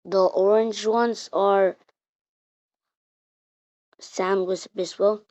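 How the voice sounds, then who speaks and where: A woman reading out an improvised mock emergency alert in a halting way, repeating and restarting her words. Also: mid-range voice, steady tone, darkish tone. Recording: muffled, roomy home recording.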